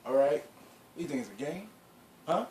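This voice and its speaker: Middle-aged man, mid pitch, rambling casual storyteller, echoey room. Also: sing-song, normal speed, warm tone. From Guyana. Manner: rambling, lots of "you know" and restarts. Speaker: clothing brand founder. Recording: echoey room with faint background noise.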